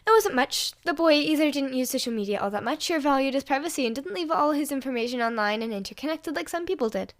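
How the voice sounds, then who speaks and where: High-voiced woman narrating a story. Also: animated, quick pace, few pauses. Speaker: fan fiction reader and podfic host.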